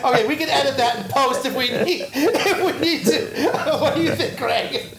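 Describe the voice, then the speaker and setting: A man's voice: extremely high pitch, moderate pace, gravelly, roomy sound, noisy background. A man co-hosting a podcast who jokes and laughs often in casual chat. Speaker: podcast co-host.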